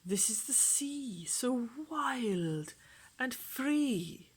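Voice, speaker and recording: A woman reading a children's rhyming picture book aloud in a put-on, lilting Welsh accent, gentle and rhythmic, often dropping to a soft whisper. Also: on the high side, lively intonation, very slow, slightly rough. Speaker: storytime reader.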